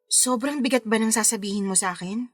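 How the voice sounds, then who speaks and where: An actress playing a caring wedding planner and concerned confidante, speaking earnestly in a Filipino radio drama. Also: female voice, fairly high pitch, fairly quick, lightly acted. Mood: concerned, soothing. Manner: soothing, earnest, advising.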